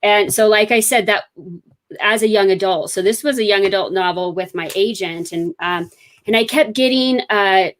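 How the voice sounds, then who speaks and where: A woman's voice: mid-range voice, moderate pace, fairly bright tone. A woman author being interviewed, telling her story in a chatty, rambling way full of fillers. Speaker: author guest. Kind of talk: casual interview chat.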